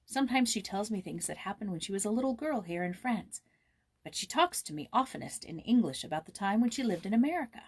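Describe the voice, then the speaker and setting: A woman voicing an eager, tender-hearted, excitable young girl in a storybook, with an impulsive, gushing manner. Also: mid-range voice, fast talker, slightly rough. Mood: tender, earnest.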